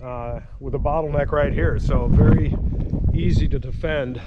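A man's voice: a little high, steady tone, moderate pace. A middle-aged man giving an easygoing, casual walking tour commentary outdoors, thinking aloud as he goes. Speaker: hiking vlogger. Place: outdoors walking around an old fort. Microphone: 360 camera held at arm's length. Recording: windy outdoor camera, dull muffled sound.

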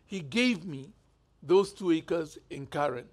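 Kenyan male bishop, 50s, high voice, chatty storytelling sermon. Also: animated, takes it slowly. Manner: conversational storytelling, gestures freely. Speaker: bishop preaching. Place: church stage indoors.

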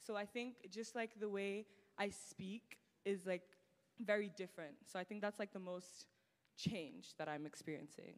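A woman's voice: medium pitch, steady tone, normal speed. A teenage student girl from Nigeria with a British-tinged Nigerian accent, chatting casually on a church stage into a handheld mic, full of "like" fillers. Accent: British-tinged Nigerian English. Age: teens. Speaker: student guest. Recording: long reverb of a large hall.

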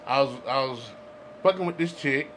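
A man's voice: medium pitch, normal speed, a bit bright. A man co-hosting a podcast, talking casually and insistently, often repeating himself, with slang and swearing. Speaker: podcast co-host. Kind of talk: casual group debate with slang and profanity. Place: small makeshift home studio, indoors. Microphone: podcast mics on boom arms. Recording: noticeable background noise.

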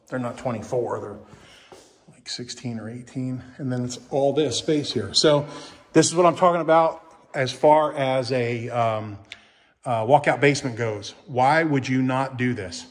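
A middle-aged man, a real estate agent chatting casually and salesy while he walks through an empty house with a phone camera. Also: medium pitch. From Kentucky. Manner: chatty, folksy, salesy asides with restarts. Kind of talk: casual walkthrough commentary. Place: empty house, indoors with some steps outside.

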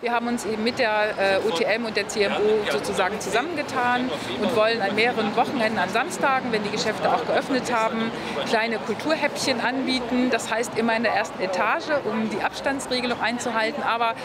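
A woman's voice: on the high side, a little fast. A woman in her fifties giving an interview statement as a city official, outdoors. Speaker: head of a city culture office. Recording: very noisy outdoor street. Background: loud street noise.

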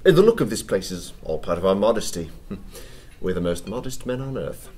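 An actor playing a fervent, gleeful anarchist poet in a radio play, with a theatrical, declamatory manner. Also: male voice, on the low side, big pitch swings, fairly quick, a little mellow. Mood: sly, amused. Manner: theatrical, declamatory, laughing zeal.

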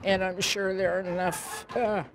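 A woman in her late nineties, a veteran, speaking slowly and haltingly in an interview. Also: mid-range voice, flat delivery. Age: 90s. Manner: slow, halting speech with a throat clear.

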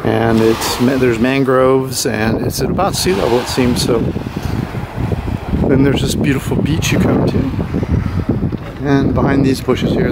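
A man's voice: medium pitch, heavy background noise. A middle-aged man giving a casual, rambling tour of an island as a travel vlogger. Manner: relaxed, rambling tour-guide talk. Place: outdoors on a green hillside.

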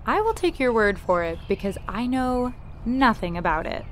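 A woman's voice: on the high side, very animated, moderate pace, a little hiss or hum. A woman playing a friendly, eager young teacher in an amateur audio drama. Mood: chatty.

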